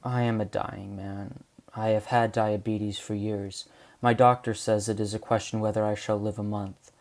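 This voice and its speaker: A man's voice: on the low side, level tone, moderate pace, darkish tone, lightly acted. A man playing a weary, dying old man in an amateur audio drama, grave and remorseful as if confessing. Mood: anguished.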